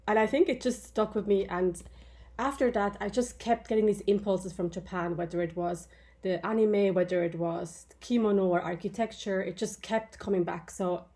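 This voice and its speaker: A woman from Switzerland answering interview questions in a relaxed way, thinking aloud with the odd filler. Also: medium pitch. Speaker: interview guest. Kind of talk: casual conversational interview answers.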